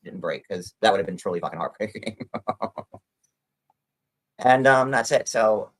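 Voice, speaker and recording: A man with a somewhat low voice talks casually on a livestream. He rambles and swears casually. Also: animated, unhurried.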